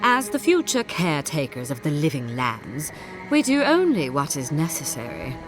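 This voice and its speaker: A woman playing a smug, zealous inquisitor's agent in a fantasy game, speaking in a measured, formal and condescending way with courteous menace. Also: sits low, wildly swooping pitch, music in the background, exaggerated voice. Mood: cold, righteous. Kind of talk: scripted fantasy game dialogue.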